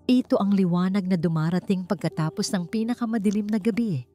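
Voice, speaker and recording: Woman reading as gentle, mellow-voiced devoted husband. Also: mid-range voice, brisk, faint music behind, lightly acted. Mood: soft, loving. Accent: Filipino. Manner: gentle, earnest, murmuring. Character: tender, devoted husband and father.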